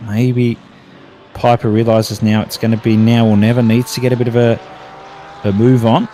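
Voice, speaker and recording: A man's voice: mid-range voice, level tone, moderate pace, mellow, a little hiss or hum. Australian male race caller, mid-range voice, rolls through gaps and rises with the battles. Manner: running through gaps between cars, lifting with the action. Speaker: race commentator. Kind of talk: sim racing commentary.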